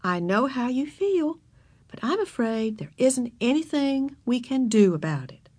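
A woman's voice: high-pitched, very animated, moderate pace, dry recording, pitched above the performer's own voice. A woman voicing a kindly kindergarten teacher, reassuring and polite but firm, in a children's storybook reading. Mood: soothing, firm.

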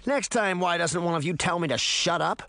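A man voicing a cocky, quick-tempered fairy boy villain in an audiobook, defiant and sarcastic, prone to shouting and growling. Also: medium pitch, sing-song, no echo. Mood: indignant.

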